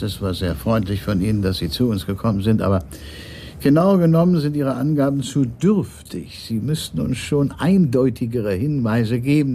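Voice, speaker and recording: An actor playing a stuffy, dismissive police chief, a titled London gentleman, pompous and condescending, in a radio crime play. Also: mid-range voice, a little hiss or hum, natural voice. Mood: dismissive, measured.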